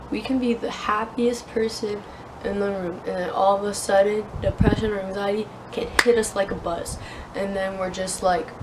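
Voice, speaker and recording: A young woman with a sore throat talks into her phone outside, earnest and halting, full of fillers. Her voice sits at an ordinary middle pitch. Wind and outdoor noise are clearly heard behind her. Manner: hesitant, many fillers, earnest. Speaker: vlogger. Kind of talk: casual talking-head vlog. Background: wind and outdoor ambience.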